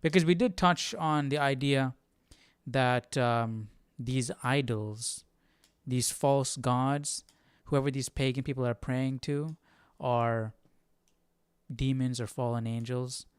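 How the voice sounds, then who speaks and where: A man with a clean, smooth voice and a middling pitch chats casually as a study host. He rambles a little, questioning and reasoning his way along. The sound is dry and close. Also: fairly even intonation, slow pace, no room sound. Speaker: Bible study livestream host. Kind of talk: casual thinking aloud, conversational.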